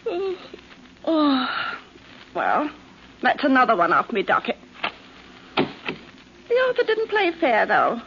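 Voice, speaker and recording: An actress playing a teasing, nagging London housewife with chatty, colloquial talk, in 1940s radio drama style. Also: female voice, high-pitched, wildly swooping pitch, takes it slowly, long pauses, a bit bright, heavily acted. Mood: idle, teasing. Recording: dull, noisy 1940s radio recording.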